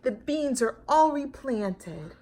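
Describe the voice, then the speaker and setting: An earnest little storybook teddy bear, voiced by a woman, high-pitched and easily startled. The voice swoops with wide-eyed wonder and stretches out its exclamations. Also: lively intonation, slow, dark tone, slight background noise. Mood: determined.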